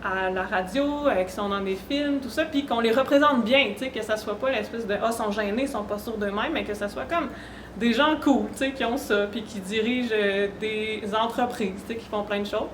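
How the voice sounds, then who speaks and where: A woman's voice: a little high, normal speed. A woman with a Québécois French accent giving an informal, conversational awareness talk to an audience. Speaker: panelist, speaker. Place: echoey room at a university event. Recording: roomy echo, faint background noise.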